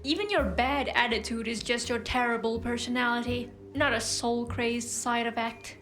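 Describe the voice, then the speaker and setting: Woman playing an articulate, high-voiced clinical scientist. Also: steady tone, normal speed, a bit bright, faint music behind, close to an everyday voice. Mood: clinical, intrigued. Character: coolly fascinated woman scientist-healer of a long-lived species. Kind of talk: audio drama acting.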